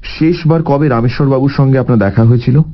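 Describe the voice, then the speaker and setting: A composed male detective, played by an actor in an audio drama, measured and probing. His medium-pitched voice reasons things through analytically. The recording is slightly dull. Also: lightly acted.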